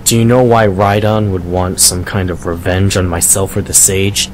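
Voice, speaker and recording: Low-voiced, reserved man acting a swordsman. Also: level tone, normal speed, a little hiss or hum, close to an everyday voice, rough recording. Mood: earnest, polite. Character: Jiro, reserved courteous adult male vampire swordsman. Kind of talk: amateur audio drama acting.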